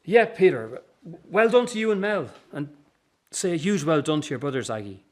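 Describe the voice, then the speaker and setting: An actor in a radio drama playing a weary, earnest circus boss and troubled son, with an Irish accent. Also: male voice, a little high, sing-song, moderate pace, a little mellow. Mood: businesslike.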